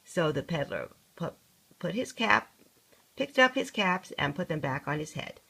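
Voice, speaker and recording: Older female storybook reader, grandmotherly role, raspy and lowish, expressive and lively with deliberate emphasis. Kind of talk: expressive read-aloud children's story.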